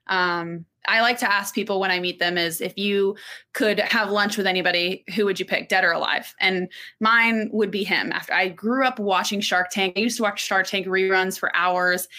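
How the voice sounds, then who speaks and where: Young woman host, bright-toned, chatty and playful, solo podcast banter. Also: medium pitch. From Texas. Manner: chatty, playful, lots of fillers. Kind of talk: solo casual podcast chat.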